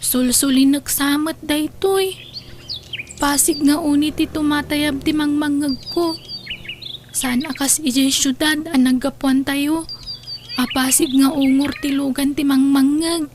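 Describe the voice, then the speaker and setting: Young mother, played by a woman, high voice, dutiful and anxious, radio-drama delivery with birds and noise behind. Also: steady tone, a little slow. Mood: uneasy. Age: young adult. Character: dutiful, worried young mother caring for her ailing mother. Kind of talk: Ilocano audio drama acting. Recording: clear background noise with birdsong.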